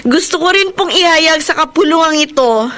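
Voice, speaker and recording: A woman's voice: high-pitched, moderate pace, fairly bright tone, slight background noise. A woman acting a remorseful young niece making a formal, emotional public confession in a Filipino radio drama. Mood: ashamed, earnest.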